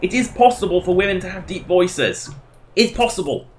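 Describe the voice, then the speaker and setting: A man's voice: high voice, expressive, normal speed, a little hiss or hum. A man doing casual live game commentary, rambling and self-deprecating as he chats to his viewers. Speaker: game livestreamer.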